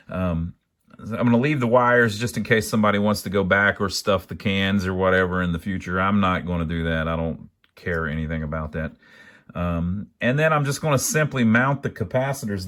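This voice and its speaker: Man, American accent, fairly low pitch, plain-spoken, folksy, thinking aloud, casual repair walkthrough. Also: a bit bright. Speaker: amateur radio repairer, video host.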